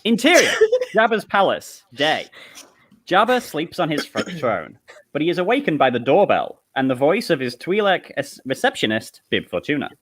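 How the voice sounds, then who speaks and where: A man's voice: fairly high pitch, big pitch swings, normal speed, a bit bright. A man narrating stage directions from a script at a live comedy table read.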